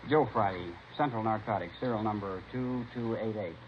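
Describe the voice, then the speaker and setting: Actor as low-voiced, by-the-book patrolman. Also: normal speed, lightly acted. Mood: official. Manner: official, procedural. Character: cautious, by-the-book male uniformed patrolman. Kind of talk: 1950s radio crime drama acting. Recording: dull vintage radio recording, faint noise.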